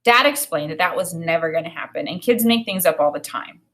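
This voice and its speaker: A woman's voice: lowish voice, animated, fairly quick, fairly bright tone. Woman reading a children's novel aloud expressively. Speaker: read-aloud narrator.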